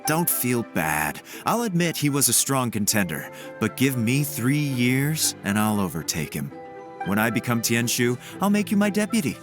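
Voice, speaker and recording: A man's voice: mid-range voice, wildly swooping pitch, normal speed. An actor playing an ambitious young man in a game, speaking eagerly and boastfully. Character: ambitious young male clerk, confident. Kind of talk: game character dialogue. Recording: game audio with music behind.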